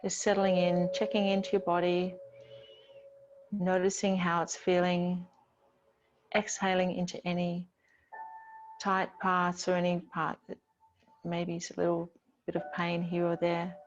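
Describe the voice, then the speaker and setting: A woman teaching yoga, giving gentle, soothing, unhurried guided instruction with pauses between phrases. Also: on the low side, completely flat delivery, muffled recording, faint music behind.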